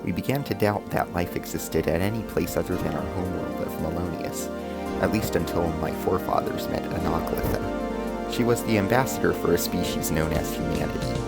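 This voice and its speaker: Gravelly low-voiced man as an alien rebel soldier. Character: male alien rebel soldier, grave, determined squad chief. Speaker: narrator and lead character of an audio drama serial. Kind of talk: first-person scripted sci-fi narration.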